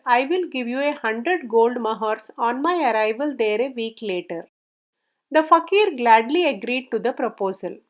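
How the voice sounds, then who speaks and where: A woman reading a children's story aloud as a scripted narration. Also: sits high.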